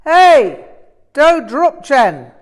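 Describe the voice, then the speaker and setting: Woman doing a raging old-world yogi guy in a story, yelling orders, pitch jumped way up. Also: sing-song, drawn-out words, exaggerated voice. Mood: furious, yelling. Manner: forceful yelling. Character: furious, commanding male Tibetan yogi master.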